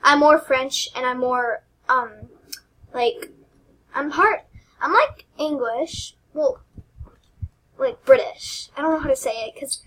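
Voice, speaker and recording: Young woman, squeaky and high, rambling and giggly, self-mocking game channel host. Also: lively intonation, slow pace, long pauses, fairly bright tone, rough recording. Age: teens. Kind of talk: casual gameplay commentary.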